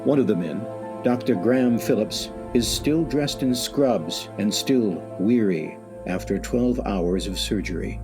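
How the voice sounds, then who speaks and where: A man as a deep, ominous radio-play announcer. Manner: measured, theatrical, ominous. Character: eerie, solemn male announcer of a suspense anthology. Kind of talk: scripted radio play narration. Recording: radio play with music underneath.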